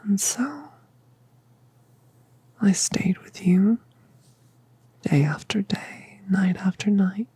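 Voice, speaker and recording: A woman voicing a colossal, tender mother dragoness in a whispered ASMR roleplay, speaking softly and soothingly. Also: mid-range voice, lively intonation, no echo, exaggerated voice. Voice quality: breathy whisper. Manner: soft, slow, soothing.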